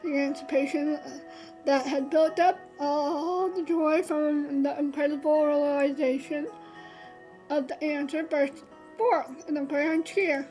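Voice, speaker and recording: A woman's voice: high voice, steady tone, unhurried, mellow, soft background music, rough recording. A woman streamer reading a visual novel aloud, stumbling and restarting often and adding chatty asides. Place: streaming setup.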